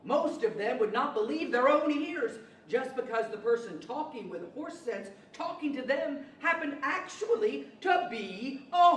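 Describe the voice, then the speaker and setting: A woman's voice: high voice, exaggerated voice. A woman playing a wry, clever talking horse with a chuckling, knowing delivery, in radio theater style. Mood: amused, sly. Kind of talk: 1940s radio theater acting. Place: large library event room, live performance. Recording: echoey hall from far off, faint noise.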